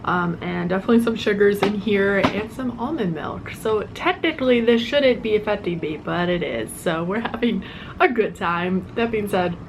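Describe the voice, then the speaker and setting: A woman's voice: mid-range voice, expressive, moderate pace, noisy background. A young woman vlogging casually at home, chatty and rambling, a little congested and nasal. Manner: chatty, rambling, self-deprecating. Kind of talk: casual vlog chat. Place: at home in an apartment.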